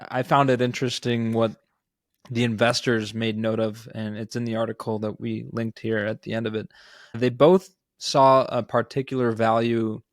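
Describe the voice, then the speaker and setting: A man's voice: medium pitch, no echo, studio quality. A man co-hosting a podcast, talking through business news in a casual, informal way. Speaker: podcast co-host. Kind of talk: conversational business news discussion.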